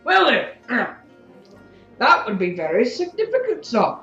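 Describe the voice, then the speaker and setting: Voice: medium pitch, huge pitch swings, a little slow, a bit bright. A fiery young revolutionary debating in an audio drama, sardonic and pointed. The voice sits in a dull, echoey room with music behind it. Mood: sarcastic. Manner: argumentative, sardonic, tags sentences with "yeah?". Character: fiery, sarcastic young revolutionary council member.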